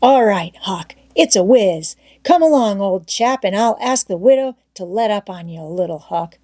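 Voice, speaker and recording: A woman voicing an excitable, scheming boy gang leader in a storybook, emphatic and persuasive. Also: medium pitch, moderate pace, no echo, clean studio sound, close to an everyday voice. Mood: excited. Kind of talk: storybook character voice in audiobook reading.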